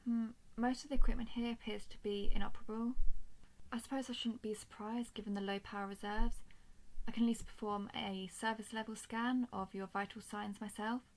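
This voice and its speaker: A woman's voice: fairly high pitch, steady tone, natural voice, poor audio. A woman voicing a stoic, protective robot soldier in a scripted audio roleplay, speaking formally and without emotion to the listener. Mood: calm, dutiful. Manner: formal, measured, emotionless delivery.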